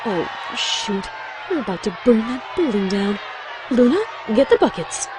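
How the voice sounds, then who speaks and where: A woman's voice: medium pitch, big pitch swings, normal speed, close to an everyday voice. Regal, airy pony princess played by a woman, noisy crowd. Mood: self-assured, then flustered. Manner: condescending, airy, indulgent. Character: regal, self-assured, teasing pony princess ruler. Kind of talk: cartoon fan fiction audio drama. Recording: noisy track with busy crowd ambience.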